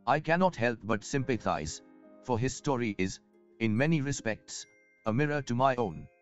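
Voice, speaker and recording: An actor playing a self-assured, wealthy merchant in a video game, speaking formally and eloquently in old-fashioned phrasing. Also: male voice, mid-range voice, expressive, moderate pace, clean tone, lightly acted. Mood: reflective, sympathetic. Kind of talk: scripted video game dialogue. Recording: muffled game audio with background music.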